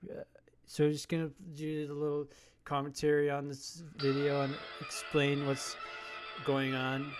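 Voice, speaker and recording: Hesitant man with a flat voice. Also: a little high, soft background music. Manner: halting, hesitant, many fillers. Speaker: video commentator. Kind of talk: rambling monologue.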